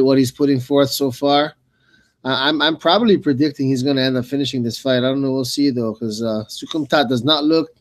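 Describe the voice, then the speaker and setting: A man's voice: medium pitch, no room sound. A man working as a colour commentator, giving casual, colloquial fight analysis and often repeating words as he builds a point.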